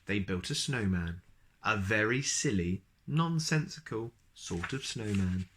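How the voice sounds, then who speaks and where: A man reading children's storybooks aloud as host, expressive and speaking directly to young listeners. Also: lowish voice, unhurried, a little hiss or hum.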